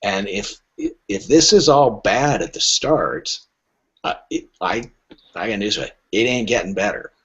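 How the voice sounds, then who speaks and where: Animated, slightly husky man on a lo-fi podcast. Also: lowish voice, a little slow. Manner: wry, emphatic, acts out quoted remarks. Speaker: literary agent, podcast guest. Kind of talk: casual podcast conversation with anecdotes. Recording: dull, lo-fi podcast audio.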